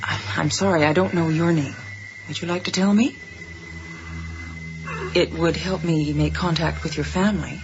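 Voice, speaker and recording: An actress playing a calm, patient doctor in a radio drama, measured and gently probing, with a dry edge. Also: female voice, sits low, lo-fi sound. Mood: patient, coaxing. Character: calm, patient female doctor on hospital duty. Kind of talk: 1980s radio drama acting. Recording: band-limited 1980s radio drama, loud music.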